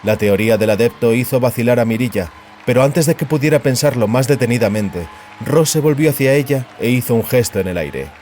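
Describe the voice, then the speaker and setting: A man's voice: medium pitch, animated, brisk, a little mellow, slight background noise. A man narrating a science fiction audiobook in a scripted, dramatic storytelling style.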